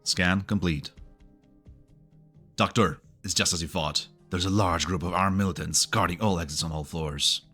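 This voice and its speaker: A man voicing a scout giving a brisk scan report. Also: deep voice, sharp tone, husky, exaggerated voice. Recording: faint music underneath.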